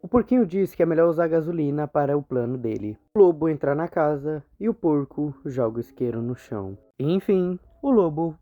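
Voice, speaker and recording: A man's voice: a little high, expressive, brisk, few pauses, a little hiss or hum. A man with a Brazilian Portuguese accent narrating an informal, slangy storytelling recap as a video narrator. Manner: colloquial, slangy. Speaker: video narrator explaining horror series. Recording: muffled phone-like audio, music behind. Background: background music.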